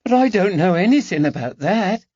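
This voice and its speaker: Man doing a shy young housemaid in an audiobook, high lifted voice, dull dry sound. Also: animated, moderate pace, no echo, close to an everyday voice. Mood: anxious. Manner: hesitant, plain-spoken working-class speech. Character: timid nineteen-year-old dismissed lady's maid.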